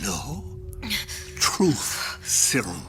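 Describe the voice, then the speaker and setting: An actor playing a cold, clinical male interrogator in a video game, speaking in clipped, deliberate, menacing phrases. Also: on the high side, big pitch swings, gravelly, loud music behind the voice, exaggerated voice. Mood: cold menace. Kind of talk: video game cutscene acting.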